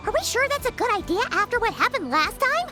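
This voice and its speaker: A woman's voice: very high-pitched, big pitch swings, fast, bright, forceful tone, exaggerated voice. A woman voicing a small, perky, curious fairy-like girl companion in a video game, chirpy and inquisitive. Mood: concerned, surprised. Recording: music behind the voice.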